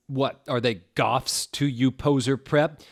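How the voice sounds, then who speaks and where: A man's voice: mid-range voice, steady tone, takes it slowly, dry recording, voice pushed up. A man acts out a snappy goth teenage girl from a comic fan fiction. The voice is bratty, indignant and full of melodrama, close and dry on the recording.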